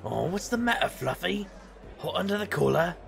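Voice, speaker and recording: An actor playing a cocky street magician hero in an audio drama, sardonic and flippant. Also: male voice, sits high, big pitch swings, normal speed, vocal fry, soft background music, exaggerated voice. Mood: taunting. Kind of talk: audio drama acting and first-person narration.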